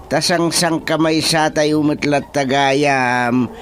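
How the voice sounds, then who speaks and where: A man playing an excitable village chairman in an Ilocano radio comedy, exclaiming often in an Ilocano accent. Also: fairly high pitch, takes it slowly, a little hiss or hum. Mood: chatty.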